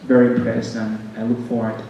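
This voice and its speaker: A man with a Ugandan accent giving a halting welcome speech as director of a music ensemble, with frequent pauses and repeated words. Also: mid-range voice, completely flat delivery, creaky, warm tone, dull audio. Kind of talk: welcome speech to a concert audience. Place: concert stage in a hall. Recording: very echoey hall, distant mic, faint noise.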